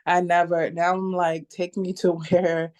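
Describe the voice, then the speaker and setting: Participant in a class call, chatty, flat even voice. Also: mid-range voice, measured pace, clear, resonant tone. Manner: chatty, fillers like "I mean, like".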